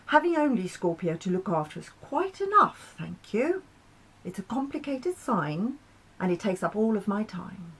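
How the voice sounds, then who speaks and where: A woman's voice: mid-range voice, big pitch swings, moderate pace, breathy edge. Woman playing a composed, dry male Pluto. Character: composed, smug male planet Pluto, dryly agreeable.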